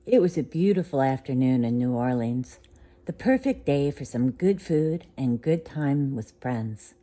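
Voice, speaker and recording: A woman reading a bedtime story aloud to a child, gentle and unhurried. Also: extremely low pitch, lively intonation.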